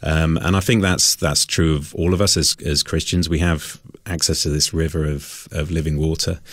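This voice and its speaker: A man with an extremely deep voice speaks as a guest in a radio interview. He is reflective and halting, often repeating a word while he thinks aloud. The sound is dry, with no room around it. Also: fairly even intonation, no echo. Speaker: singer-songwriter, interview guest.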